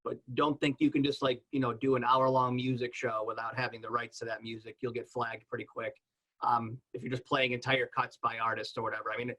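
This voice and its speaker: A man's voice: mid-range voice, flat delivery, brisk, bright, forceful tone, poor audio. A man on a panel explaining things in a casual, conversational, plain-spoken way. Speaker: podcast panelist.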